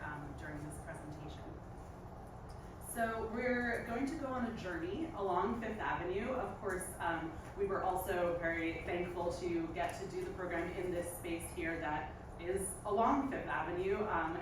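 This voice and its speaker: A woman with a New York accent giving a live public talk about the history of a street. She speaks in a chatty way with many fillers, into a microphone in an event space. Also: on the low side. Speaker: presenter, preservation researcher. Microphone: handheld microphone in a large room. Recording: echoey room, far from mic, background noise.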